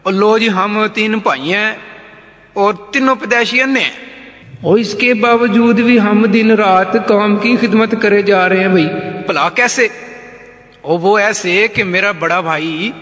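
Man playing a brash, high-voiced rustic blind politician. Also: bright, forceful tone. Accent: Punjabi. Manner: folksy, teasing, peppered with interjections. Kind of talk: satirical stage sketch comedy. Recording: long hall reverb.

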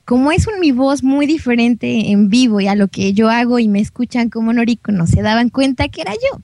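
A woman's voice: fairly high pitch, lively intonation, a little fast, dark tone, no room sound. A young adult woman with a Mexican accent, chatting casually and enthusiastically as a guest in an interview.

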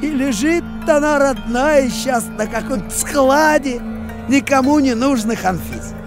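A man voicing a naive, excitable, soft-hearted dog in a cartoon, earnest and simple-hearted. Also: very high-pitched, a little slow, music in the background. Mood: anxious, pleading. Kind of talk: animated cartoon acting.

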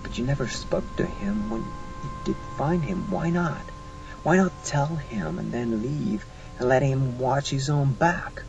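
Man voicing a vehement young granddaughter, raised pitch. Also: a little slow, vocal fry. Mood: accusing. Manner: accusing, pointed questioning. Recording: muffled recording with music behind.

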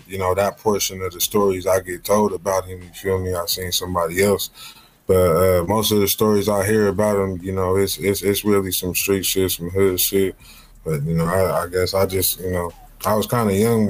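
A man in his early thirties with a very low voice speaks in a relaxed, slang-filled storytelling way. He is talking over a webcam call from a room at home, as a guest on a podcast. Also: low-quality recording. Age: 30s. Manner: laid-back slang-heavy storytelling. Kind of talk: casual interview.

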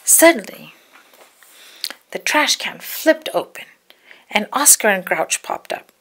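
A woman reads a story aloud for children. Her mid-pitched voice has plenty of creak in it. She tells it expressively and deliberately, leaning on key words. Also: sing-song, a bit bright. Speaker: storybook read-aloud narrator. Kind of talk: scripted children's story narration.

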